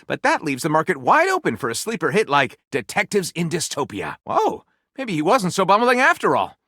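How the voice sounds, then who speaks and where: Flamboyant, pompous storyteller with wild swoops. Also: male voice, a little high, wildly swooping pitch, brisk, bright, forceful tone, no echo, studio quality, exaggerated voice. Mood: excited, pompous. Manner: grandiose, melodramatic narrator delivery with gushing exclamations. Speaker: voice actor playing a game character. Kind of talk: theatrical storytelling, video game voice lines.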